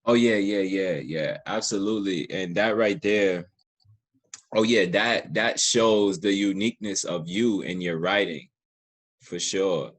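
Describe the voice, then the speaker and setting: A man with a very low voice hosts a conversational interview. He speaks at an unhurried, slow pace. His manner is appreciative, and he often repeats words to stress them. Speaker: podcast host interviewer.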